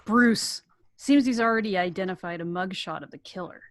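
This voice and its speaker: A woman's voice: mid-range voice, normal speed, lightly acted. A woman acting a businesslike campaign aide in a script table read.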